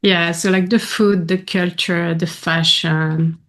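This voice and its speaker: A woman from France with a French accent, a guest chatting in an interview, warm and chatty with many fillers. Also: on the low side, steady tone, takes it slowly. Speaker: guest, painter and advocate. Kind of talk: casual interview conversation.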